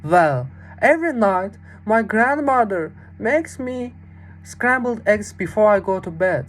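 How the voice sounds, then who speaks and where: A woman voicing a tiny, clever boy in a fairy tale, giving calm, matter-of-fact replies. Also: mid-range voice, a little slow, voice pushed up. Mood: calm, wily.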